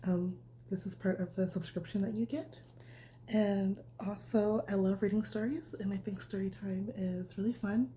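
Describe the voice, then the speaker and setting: A woman reading a picture book aloud as a bedtime storyteller, speaking in a nurturing, simple way as if to a small child, with a breathy voice that often drops toward a whisper. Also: mid-range voice, fairly even intonation, moderate pace, mellow, a little hiss or hum, rough recording.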